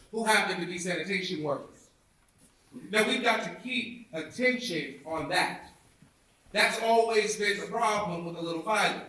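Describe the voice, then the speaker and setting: Male orator, high-set voice, emphatic preacher-like delivery, very reverberant indoor theatre sound. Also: pushed and bright. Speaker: reader of a historic speech. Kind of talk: oratorical public speech reading. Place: indoor theatre, recorded from afar. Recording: echoey hall, distant mic.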